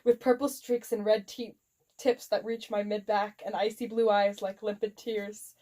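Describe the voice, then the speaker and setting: A woman's voice: medium pitch, flat delivery, normal speed, mellow, natural voice. A woman voicing a melodramatic, gushing seventeen-year-old goth vampire schoolgirl in a fan fiction reading. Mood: self-important.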